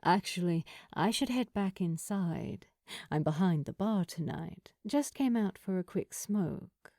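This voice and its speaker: A cool, flirtatious woman bartender from an audiobook tale, acted by a female narrator. She speaks low and unhurried, confident and teasing, recorded very dry and close. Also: expressive, dark tone, no echo. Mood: relaxed. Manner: laid-back, confident, teasing. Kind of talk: audiobook character dialogue.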